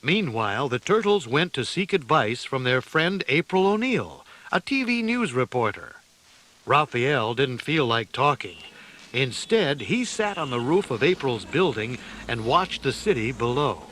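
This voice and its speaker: A man narrating a children's adventure story in a deliberate, theatrical style. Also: medium pitch, very animated. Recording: loud music behind the voice.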